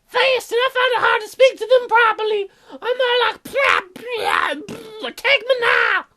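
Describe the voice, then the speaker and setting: Man putting on a squeaky, excitable ditzy teenage girl. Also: measured pace, shouting, exaggerated voice. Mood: gushing. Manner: gushing, blurting, childlike. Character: dim, excitable, crude-mouthed ditzy teenage girl friend.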